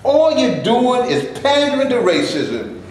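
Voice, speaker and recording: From far off in a very echoey room comes a man playing a defiant film actor in a staged play reading. He is mocking and exclamatory, his high voice swinging widely in pitch. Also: sing-song, unhurried, exaggerated voice. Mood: indignant. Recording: echoey live room, distant camera, noisy.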